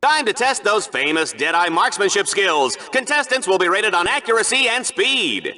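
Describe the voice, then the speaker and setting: A slick video game announcer with a high, forceful voice. He is goofy and over-excited, swooping theatrically through his hyped sports commentary like a showman. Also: normal speed, exaggerated voice. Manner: showy, theatrical, hammy.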